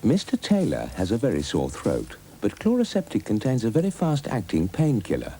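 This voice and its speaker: A man's voice: lowish voice, wildly swooping pitch, moderate pace, mellow, a little hiss or hum. A man delivering scripted advert narration. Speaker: advert voice-over.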